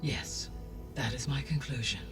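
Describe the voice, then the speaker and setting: A man's voice: mid-range voice, lively intonation, lightly acted. Man playing a distant, formal artificial intelligence. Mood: grave, analytical. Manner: formal, measured, precise diction. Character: calm, formal artificial intelligence guardian of Earth's terraforming. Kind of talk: scripted game cutscene dialogue. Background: music.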